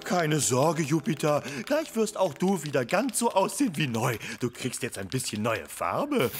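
An eager young cartoon firefighter with a high voice that swoops up and down. He is clumsy but good-hearted and exclaims earnestly. Music plays behind him. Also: sing-song, moderate pace. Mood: flustered. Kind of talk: animated children's cartoon dubbing.

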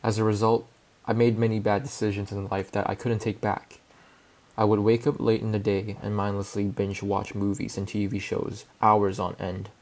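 A young man from Hong Kong, a native Cantonese speaker, calmly narrating a reflective personal voice-over in English. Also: on the low side. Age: young adult. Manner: calm, reflective, measured. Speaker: student filmmaker.

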